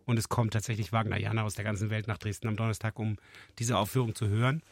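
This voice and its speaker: A middle-aged man speaking with a low, gravelly voice roughened by a cold. He explains things patiently and earnestly, like a festival director answering an interviewer. The sound is close and dry, with no room around it. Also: level tone, fast, no room sound. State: has a cold, voice husky.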